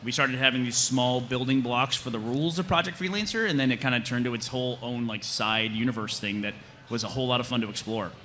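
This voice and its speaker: A man's voice: mid-range voice, fairly even intonation, fast talker, pushed and bright, slight background noise. A man talking off the cuff and jokingly on a live convention panel, answering audience questions as a writer. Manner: joking, self-deprecating.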